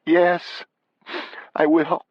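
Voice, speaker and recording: A man's voice: fairly high pitch, flat delivery, extremely slow, dry recording, lo-fi sound, voice pushed up. A man voicing an anxious young wife from the American South, pleading in a plaintive, worried drawl. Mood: tearful pleading.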